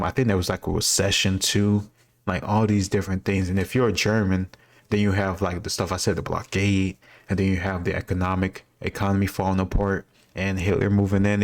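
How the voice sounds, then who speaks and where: Casting: male, very low voice, little pitch movement, off-the-cuff explainer who thinks aloud. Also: flat delivery, a little fast. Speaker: host answering history quiz questions. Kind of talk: casual reaction commentary, thinking aloud.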